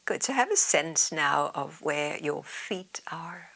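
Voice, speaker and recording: A woman yoga teacher leading a guided meditation in a soft, soothing, half-whispered way. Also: deep voice, lively intonation, takes it slowly, creaky.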